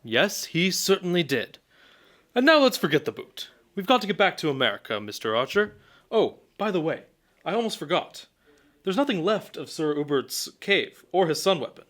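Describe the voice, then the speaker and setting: A man's voice: fairly high pitch, sing-song, normal speed, fairly bright tone. A man playing a confident, noble superhero with a genial manner in an old-time radio serial. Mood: brisk, friendly.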